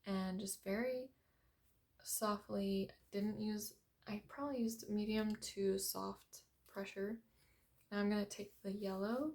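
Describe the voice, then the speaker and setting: Soft-spoken young woman, slow and quiet. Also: medium pitch. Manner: soft-spoken, hesitant with many ums and apologies. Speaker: art tutorial host. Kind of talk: unscripted coloring tutorial narration.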